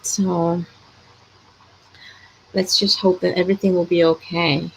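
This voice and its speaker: A woman hosts a casual livestream with a low, gravelly voice. She sounds worried and hesitant, sighing between thoughts. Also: flat delivery, slow pace, a little hiss or hum, poor audio. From Ecuador. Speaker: host of a live chat.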